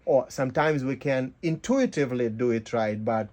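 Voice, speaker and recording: Mellow man, explaining with halting reasoning. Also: medium pitch. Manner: halting, rhetorical, often ends points with "right?". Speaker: interview guest. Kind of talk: casual philosophical explanation.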